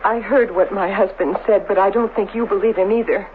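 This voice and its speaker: An actress playing a frightened, earnest wife of a scientist in a radio drama, speaking with tense dread. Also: female voice, medium pitch, fairly quick, narrow, tinny audio, lightly acted. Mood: anxious, insistent. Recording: thin, faintly hissy old radio recording.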